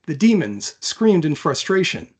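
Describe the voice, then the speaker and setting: A man's voice: on the high side, sing-song, measured pace, husky. A man reading his own fantasy novel aloud as dramatic, scripted narration.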